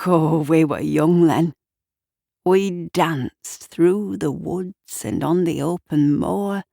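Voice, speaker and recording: A woman voicing a grieving old man in an audio story, halting and nostalgic as he speaks. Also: deep voice, gravelly, darkish tone, no room sound, studio quality, heavily acted. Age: older. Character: grieving, wistful old man reminiscing about lost youth.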